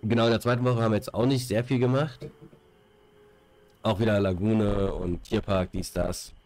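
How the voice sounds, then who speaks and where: A man's voice: on the low side, fairly even intonation, normal speed, a little mellow. A young man with a stuffy nose from a cold, chatting casually on a headset as he plays a game and rambles with fillers and repeated words. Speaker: gaming streamer. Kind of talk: casual chat while playing.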